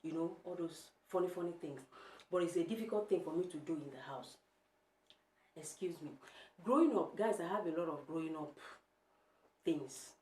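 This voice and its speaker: A young Nigerian woman with an Igbo accent and a low, deep voice, vlogging from home. She talks emphatically and keeps putting direct questions to the viewer. The room sounds echoey. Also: lively intonation, moderate pace, long pauses, darkish tone. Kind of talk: casual opinion vlog.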